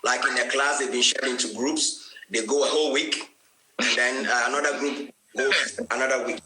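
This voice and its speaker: Guest, male, from Ghana: bright, hesitant, filler-heavy, in an echoey room with faint noise. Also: mid-range voice, steady tone, moderate pace. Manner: hesitant, many fillers and restarts. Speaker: guest street evangelist. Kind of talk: online chat interview.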